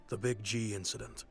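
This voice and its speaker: A man's voice: fairly low pitch, fairly bright tone. Wry, shrewd businessman. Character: shrewd self-made businessman, world-weary but proud. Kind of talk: video game voice acting.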